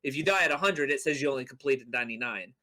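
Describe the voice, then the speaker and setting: A man's voice: mid-range voice, lively intonation, fast, pushed and bright. A man chatting casually as a podcast co-host, telling stories in a rambling way with playful mock boasting. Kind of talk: casual chat on a gaming podcast.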